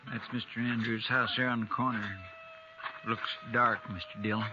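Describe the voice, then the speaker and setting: An actor playing a folksy, deferential American deputy with a rustic drawl in a 1950s radio western. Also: male voice, mid-range voice, flat delivery, moderate pace, music in the background, natural voice. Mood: hushed, wary. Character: folksy, deferential male deputy to a frontier marshal. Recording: muffled, noisy 1950s radio recording.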